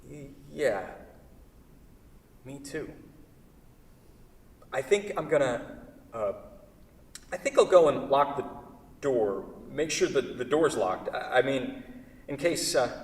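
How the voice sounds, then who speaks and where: A man acting a flustered, awkward seller in a staged play reading, halting and stammering through his sentences. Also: medium pitch, lively intonation. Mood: dazed, awkward. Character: flustered, awkward man selling a beloved table. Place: theatre stage. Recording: echoey theatre hall, distant mic.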